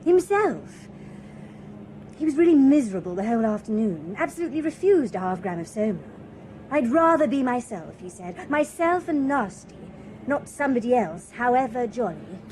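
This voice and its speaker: A woman's voice: fairly high pitch, sing-song, normal speed, darkish tone, a little hiss or hum, low-quality recording. An actress playing a shallow, cheerful young woman in a radio drama, talking in a breezy, chattering way. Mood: chatty. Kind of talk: BBC radio drama acting.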